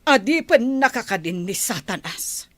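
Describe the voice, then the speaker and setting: A woman's voice: fairly high pitch, very animated, unhurried, a bit bright, no room sound, heavily acted. A woman playing a reproachful, pleading village woman with a conscience in an Ilocano radio drama.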